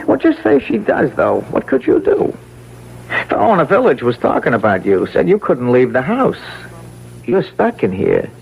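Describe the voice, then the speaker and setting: An actor playing a jittery small-time American crook with rough, slangy speech, in old radio drama style. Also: male voice, medium pitch, moderate pace, full character voice, poor audio. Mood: defiant. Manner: excitable, rough colloquial grammar, stammering when scared. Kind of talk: vintage radio drama acting.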